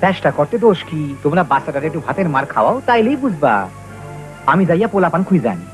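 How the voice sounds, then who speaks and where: A man's voice: fairly high pitch, animated, fast, faint music behind, lightly acted. A man with a Bangladeshi accent playing a concerned, practical village man in a children's cartoon. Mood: urging.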